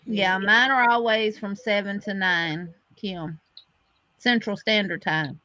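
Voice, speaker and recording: A woman's voice: medium pitch, fairly even intonation, slow pace, sharp tone, no echo, dull audio. A woman co-hosting a live crochet show, talking in a chatty, plainspoken way. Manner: chatty, plainspoken, full of fillers. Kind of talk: casual chat on a livestream.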